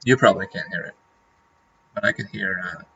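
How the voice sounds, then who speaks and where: A man's voice: sits low, brisk, bright, forceful tone, lo-fi sound, a little hiss or hum. A man from Norway, a native Norwegian speaker, chatting casually on a livestream and rambling with lots of fillers and restarts. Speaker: streamer.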